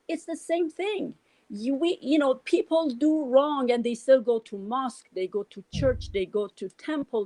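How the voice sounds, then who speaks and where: A woman's voice: on the high side, dry recording, low-quality recording. A middle-aged woman from Iran with a Persian accent, speaking her views in a casual, earnest way, full of restarts and "you know". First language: Farsi. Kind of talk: casual spoken reflection.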